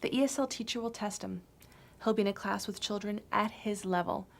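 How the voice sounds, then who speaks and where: A woman playing a friendly school teacher in a scripted lesson dialogue, speaking clearly and reassuringly. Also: mid-range voice, brisk. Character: friendly female school teacher, middle-aged.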